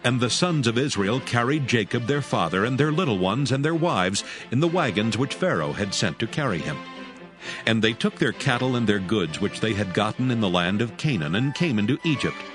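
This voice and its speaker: A man narrating scripture from a script as a formal storyteller. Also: medium pitch.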